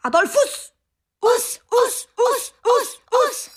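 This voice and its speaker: A woman's voice: very high-pitched, lively intonation, drawn-out words, heavily acted. A woman in a radio drama playing a young girl who puts on a grumpy, pedantic wounded soldier, fussy and insistent.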